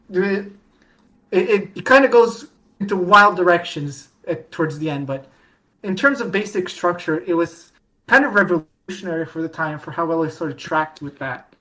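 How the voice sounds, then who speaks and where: A woman with a fairly low voice hosts a casual fan discussion. She speaks haltingly, often doubling back to restart a phrase before carrying on. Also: fairly bright tone. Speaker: podcast host.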